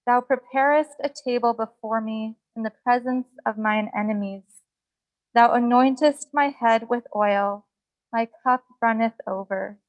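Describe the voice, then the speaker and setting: Slow, reverent woman reciting, higher voice. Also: no echo, muffled recording. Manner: measured, reverent phrasing. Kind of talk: solemn scripture recitation.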